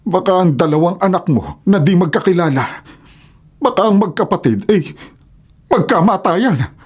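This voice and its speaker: A man playing a grave, worried adoptive father in a radio drama, speaking with halting foreboding. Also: sits high, animated, breathy edge.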